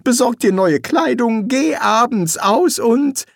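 A narrator voicing a nervous male wizard who bluffs as a demon, sarcastic and hesitant, with a strained high voice full of ums. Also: expressive, slow, vocal fry, dry recording, studio quality, exaggerated voice. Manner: sarcastic, evasive, pleading. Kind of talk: audiobook reading.

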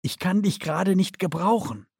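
A man's voice: sits high, expressive, normal speed, a little mellow, husky, no room sound, studio quality, pitched above the performer's own voice, full character voice. A man voicing an insecure, anxious and resentful young female recruit with a hesitant, inward, self-doubting manner in an audiobook.

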